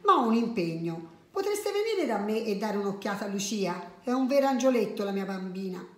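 A woman's voice: medium pitch, big pitch swings, fairly quick, echoey room, lightly acted. A woman voicing a flustered, polite neighbour lady in a children's story, effusive and exclamatory. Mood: polite, apologetic.

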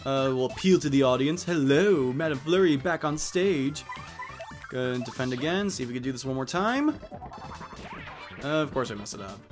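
A man's voice: medium pitch, lively intonation, moderate pace. Wry, chatty young man playing a game. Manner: chatty, wry asides, reads game text aloud with put-on voices. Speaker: let's play host. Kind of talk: casual gameplay commentary. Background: faint game music.

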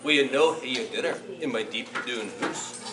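A man's voice: mid-range voice, normal speed, distant mic, pitched below the performer's own voice, natural voice. A man voicing hungry, wily animal predators in Scots dialect, reading a children's story. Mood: luring. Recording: echoey room, faint noise.

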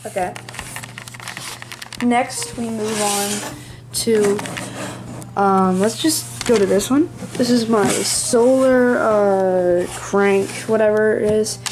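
Casual hobbyist narration, halting and rambling, small room at home, handheld camera. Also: medium pitch, background noise. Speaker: hobbyist presenting a radio collection. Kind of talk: casual show-and-tell narration. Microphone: handheld camera close by.

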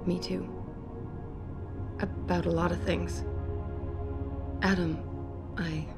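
A woman's voice: lowish voice, flat delivery, slow pace. A woman playing an earnest, idealistic research scientist in a video game, speaking articulately. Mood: wistful. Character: earnest, idealistic female research scientist, quick-minded doctor. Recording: game audio with music behind.

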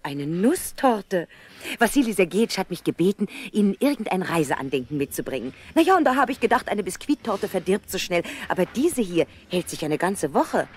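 A woman's voice: fairly low pitch, sing-song, moderate pace, darkish tone, husky. An actress playing a chatty, flippant young woman traveller in a 1970s German radio play, gushing and cheerfully familiar. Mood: chatty, coaxing.

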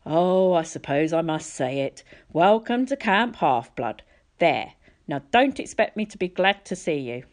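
A woman's voice: lowish voice, big pitch swings, unhurried. A woman voicing a grumpy, impatient middle-aged male camp director in a storybook, haughty and sardonic. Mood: grudging.

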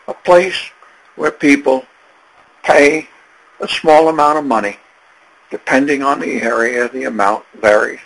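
A man narrating a travel video in a slow, unhurried, plain-spoken voice-over. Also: medium pitch, vocal fry, fairly bright tone, slightly rough, poor audio.

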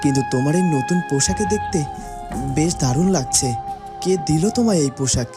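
High-voiced gentle young prince played by a man. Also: animated, normal speed, music in the background, close to an everyday voice. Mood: gentle, hesitant.

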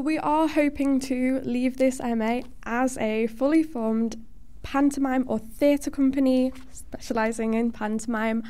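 A woman's voice: on the high side, warm tone, clear, resonant tone. A woman who performs on stage, chatting casually as an interview guest.

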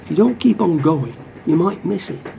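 Guy playing a footy fan, wistful and plain spoken, croaky voice, bit of train noise. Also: high voice, expressive, normal speed, dark tone, full character voice. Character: wistful male football supporter narrating a train ride home. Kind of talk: radio play monologue.

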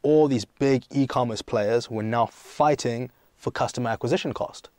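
Young male startup CEO as podcast guest, medium pitch, emphatic explainer, conversational, dry recording. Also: normal speed, darkish tone, no room sound. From South Africa and Greece. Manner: emphatic, explanatory, poses and answers own questions. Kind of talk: conversational interview answers.

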